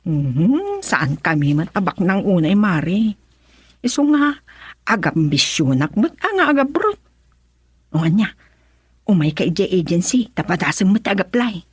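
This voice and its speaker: Ambitious matronly neighbor, Ilocano accent, radio drama. Emphatic, husky, recorded close and dry. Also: female voice, fairly low pitch, very animated, measured pace, no room sound, exaggerated voice. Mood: encouraging. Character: better-off village friend lending money, brisk, ambitious, matronly.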